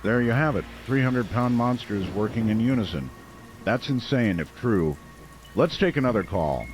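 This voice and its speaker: An actor playing a small-town late-night radio host, measured, serious and a little skeptical. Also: male voice, medium pitch, lively intonation, slight background noise, lightly acted. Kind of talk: radio drama call-in hosting.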